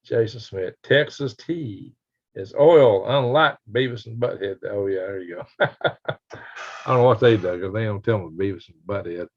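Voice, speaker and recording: An older man with a raspy voice chatting casually and folksily as he hosts a live stream and reads out comments. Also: fairly low pitch, moderate pace. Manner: folksy, rambling, chuckling country talk. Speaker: livestream host. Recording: dull lo-fi livestream audio.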